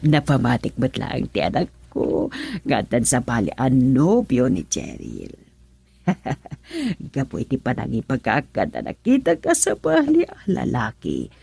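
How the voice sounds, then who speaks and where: A woman's voice: rumbling low, wildly swooping pitch, takes it slowly, creaky, husky, dry recording, heavily acted. An actress playing a scheming, gloating woman in an Ilocano radio drama, with an Ilocano accent. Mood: gloating, amused. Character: scheming gloating woman plotting over a romance. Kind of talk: Filipino radio drama acting.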